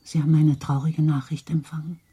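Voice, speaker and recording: A genteel society lady in a radio play. Her voice is very husky and dark, and she speaks with formal, solicitous politeness that has an inquisitive edge. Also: deep voice, little pitch movement, a little fast. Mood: polite, probing. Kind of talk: radio drama acting.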